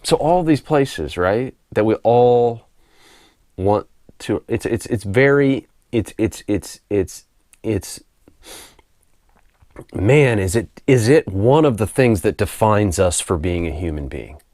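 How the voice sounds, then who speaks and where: A man with a very low voice, roughened and hoarse from a recent cold, speaks in a loose, unscripted monologue at home. He drifts through his thoughts, repeating words and chuckling softly. The sound is dry and close, with no room echo. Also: takes it slowly. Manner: relaxed, rambling, repeats words while thinking, laughs softly. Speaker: spiritual author and video host. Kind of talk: casual unscripted monologue to camera.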